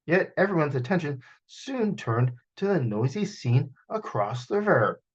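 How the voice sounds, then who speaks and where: A man reading a children's picture book aloud as a storyteller, in an expressive manner, over a video call. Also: a little high, moderate pace, slightly rough, lo-fi sound. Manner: expressive, acts out sound effects.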